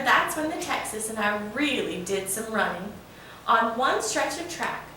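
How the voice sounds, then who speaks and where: A woman's voice: high-pitched, a bit bright, exaggerated voice. A woman voicing a proud old male steam locomotive spinning a folksy, boastful yarn for a children's storybook. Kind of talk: children's storybook read-aloud. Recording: roomy, echoing, distant mic with faint noise.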